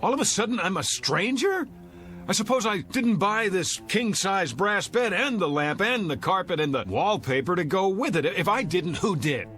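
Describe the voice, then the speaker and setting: An actor playing an irritable, hungover husband in a suspense drama, sarcastic, bewildered and exasperated. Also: male voice, high-pitched, lively intonation, normal speed, fairly bright tone, soft background music.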